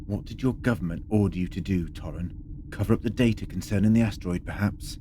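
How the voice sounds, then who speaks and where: An actor playing a sardonic, lecturing time-travelling adventurer in a sci-fi audio drama. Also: male voice, low-pitched, fast, background noise, close to an everyday voice. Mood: probing. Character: sardonic, quick-witted male time-travelling alien adventurer.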